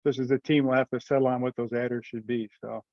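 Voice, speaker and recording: A man's voice: mid-range voice, level tone, fast talker, no echo. Man on a dull conference-call line. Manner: tentative, thinking aloud, consensus-seeking. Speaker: presenter in a committee teleconference. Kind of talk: informal meeting discussion, explaining a proposal.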